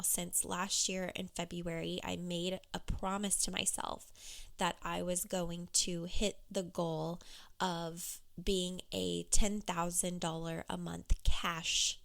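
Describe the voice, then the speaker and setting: A woman business coach talking candidly on her solo podcast, with a hoarse, froggy voice. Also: lowish voice. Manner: candid, conversational, with fillers.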